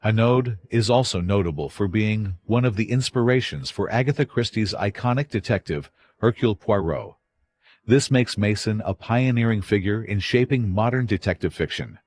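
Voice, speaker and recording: A man with a husky voice reading scripted narration as an announcer. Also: fairly low pitch, moderate pace, a little mellow.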